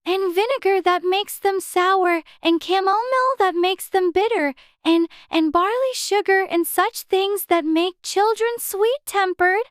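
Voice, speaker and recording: A woman's voice: extremely high pitch, a little slow, few pauses, no room sound, clean studio sound, pitched above the performer's own voice. A woman voicing a polite, curious little girl in a dramatized storybook audiobook, with an earnest, childlike manner. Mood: musing, pleased.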